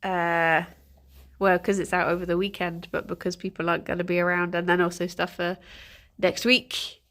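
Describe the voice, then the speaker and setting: A woman's voice: fairly low pitch, flat delivery, normal speed, a bit bright. A young woman streaming from home, chatting casually and greeting viewers by name, a little congested with a stuffy nose. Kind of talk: casual morning chat with viewers.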